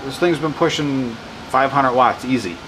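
A man casually explaining his wind turbine setup in a workshop, rambling and correcting himself with excitement. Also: medium pitch, normal speed. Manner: enthusiastic, rambling, self-correcting. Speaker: hobbyist wind power vlogger. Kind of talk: casual off-the-cuff demo narration.

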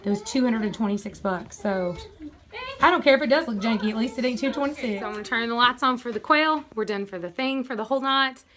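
A woman's voice: on the high side, fast talker. A woman chatting casually while doing farm chores, rambling and laughing often, recorded outdoors and in a barn on a handheld phone. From Tennessee, USA. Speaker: homestead vlogger.

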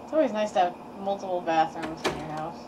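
A woman chatting casually while playing a game, rambling and quick to laugh. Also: lowish voice. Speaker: game commentator. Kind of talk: casual let's-play chat. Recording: distant mic with loud background noise.

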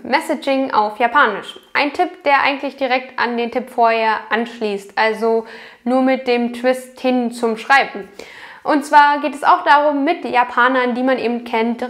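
A young woman talking to camera from a small room at home, explaining language study tips in a clear, explanatory way with frequent fillers. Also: fairly high pitch. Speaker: language learning YouTuber.

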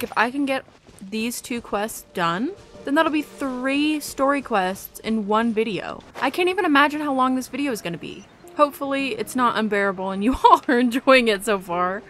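A woman's voice: on the high side, fairly bright tone. Chatty woman commentating over game music. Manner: chatty, informal, rambling. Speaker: gaming video host. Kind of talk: casual gameplay commentary.

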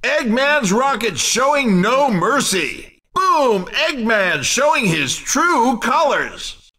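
A man playing an excitable, wisecracking race commentator in a cartoon kart racing video game, exclaiming like a playful showman. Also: very high-pitched, far from the mic, heavily acted. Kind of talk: excitable scripted sports commentary.

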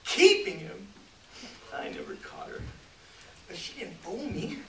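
A man's voice: high-pitched, big pitch swings, exaggerated voice. Man acting a theatrical, boastful, self-pitying Hollywood producer. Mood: wounded, ranting. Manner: theatrical, halting, swinging from hushed to shouting. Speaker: actor. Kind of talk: stage monologue performance. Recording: roomy, distant mic, loud background noise.

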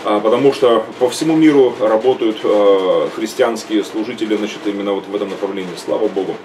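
A middle-aged man gives a seminar as an archbishop, speaking slowly and stopping often to search for words. He is recorded by a webcam set back from him in a small home room. A faint background noise sits under his voice. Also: fairly low pitch, recorded from a distance. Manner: hesitant, many drawn-out "uh" fillers. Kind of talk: teaching seminar. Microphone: webcam at desk.

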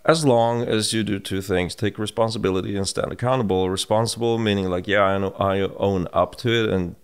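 A middle-aged man with a Swedish accent, co-hosting a podcast in casual conversation, reflective and halting with restarts, recorded on a studio mic in a home room. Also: on the low side, fairly even intonation, clean tone. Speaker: podcast co-host. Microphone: boom-mounted studio mic.

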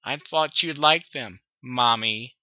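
A reader voicing a sullen young filly pony who answers flatly and reluctantly, on a dull, dry lo-fi recording. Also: medium pitch, takes it slowly, dry recording, lightly acted. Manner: flat, reluctant, robotic replies.

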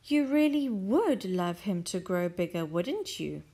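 Brief: woman voicing a shy older man, hushed and earnest, slow-spoken. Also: very animated, slightly rough, exaggerated voice. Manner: lower, soft and solemn.

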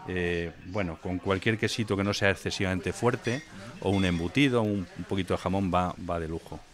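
A man's voice: low-pitched, normal speed. A man as a wine expert guest in a casual interview, knowledgeable and rambling as he describes a wine. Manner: knowledgeable, rambling, many fillers and restarts. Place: wine venue indoors.

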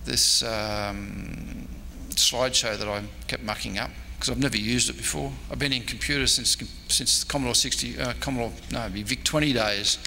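A man's voice: mid-range voice, fairly even intonation, normal speed, creaky, sharp tone. An Australian man in his sixties gives an informal talk, rambling along in a hesitant, self-deprecating way. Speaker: historian giving a convention talk. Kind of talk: informal off-the-cuff lecture and audience questions. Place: indoor convention meeting room. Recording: echoey hall, background noise.

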